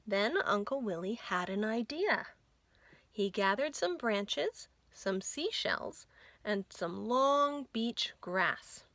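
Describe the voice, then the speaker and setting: A woman reading a picture book aloud for young children, expressive and deliberate, with dramatic emphasis. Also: mid-range voice, no room sound. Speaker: children's librarian reading storytime.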